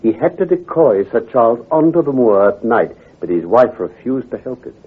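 An actor playing an assured, precise gentleman detective who explains with authority in a radio drama. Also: mid-range voice, very animated, moderate pace, slightly rough. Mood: assured, explanatory. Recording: tinny, hazy old radio recording.

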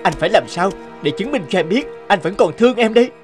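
A man's voice: high-pitched, animated, fast talker, soft background music. A man playing an earnest, pleading young husband in a cartoon melodrama. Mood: remorseful, pleading.

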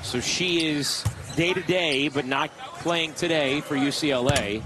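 A man doing live play-by-play for a basketball game, with quick excited calls on big plays. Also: on the high side. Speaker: play-by-play commentator. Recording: broadcast booth over loud arena crowd noise. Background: arena crowd and bouncing ball.

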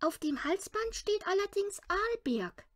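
A woman plays a young girl in a children's storybook. The voice is high and expressive, firm and matter-of-fact, never giving in. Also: measured pace, full character voice.

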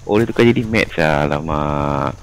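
A man's voice: very deep voice, brisk, creaky, slight background noise. A man with a Malaysian English accent chatting casually while playing a game, mixing Malay and English. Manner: relaxed, mixes Malay and English. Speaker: gamer. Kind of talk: casual gaming chat.

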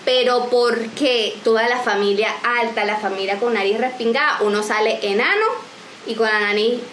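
A woman's voice: a little high, normal speed, echoey room, slight background noise. A Venezuelan woman in her twenties chatting animatedly and joking in a home vlog, filmed at arm's length indoors. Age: 20s. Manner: animated, joking, gestures a lot. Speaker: vlogger. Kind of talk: casual vlog chat. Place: small room at home, indoors.

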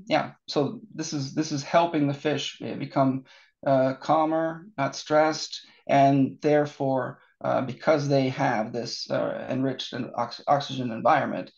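Gravelly-voiced American man, halting. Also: mid-range voice, a little mellow, lo-fi sound. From born in Seattle, raised in Norway. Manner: halting, many restarts and fillers. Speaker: guest expert. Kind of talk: webinar interview answers.

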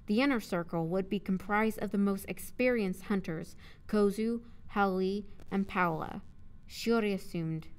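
A woman's voice: medium pitch, unhurried, clear, resonant tone. Woman narrator recording takes. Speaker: voice actor, narrator. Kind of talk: scripted audio drama narration with casual studio chat between takes.

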